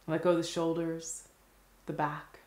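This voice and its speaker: A woman with a low-pitched voice leads a guided meditation. Her delivery is very even and gentle, with soothing phrases set apart by long pauses. The sound is roomy, with noticeable reverberation around the voice.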